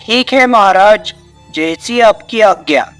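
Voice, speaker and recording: A dutiful, kind-hearted minister to a king, played by a man. He speaks slowly and respectfully in a storybook drama. Light music and chirping birds sit behind the voice. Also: sits high, animated, a bit bright, exaggerated voice. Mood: deferential. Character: dutiful, compassionate male royal minister. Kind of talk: dramatised Hindi moral story.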